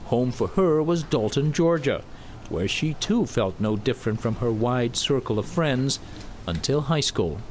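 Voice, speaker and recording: Measured male news correspondent. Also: mid-range voice, a little hiss or hum, low-quality recording. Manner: measured, even reporting delivery. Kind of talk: scripted news narration.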